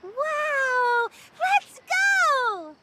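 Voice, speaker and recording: A woman voicing an excitable, chatty young girl in an anime game, with an eager, childlike and boastful manner. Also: extremely high pitch, very slow, heavy background noise, heavily acted. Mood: amazed.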